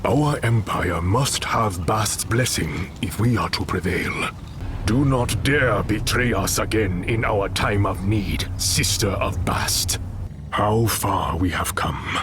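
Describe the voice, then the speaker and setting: An actor playing a solemn, regal warrior king in a video game, speaking in a measured, formal manner. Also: on the low side, background noise.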